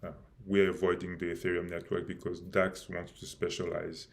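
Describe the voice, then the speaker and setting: A man hosting a live stream about his project, speaking haltingly with many fillers and restarts, and losing his voice a little. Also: sits low, monotone, creaky. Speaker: crypto project host.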